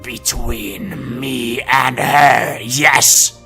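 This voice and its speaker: A man playing a menacing male Inquisitor villain with a slow, growling delivery. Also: mid-range voice, steady tone, gravelly, sharp tone, faint music behind, exaggerated voice. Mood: cold.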